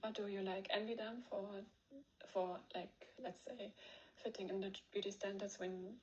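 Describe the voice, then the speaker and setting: A woman's voice: medium pitch, fairly even intonation, normal speed, darkish tone, dull audio. A woman with a German accent leaving a voice memo question, hesitating and searching for words.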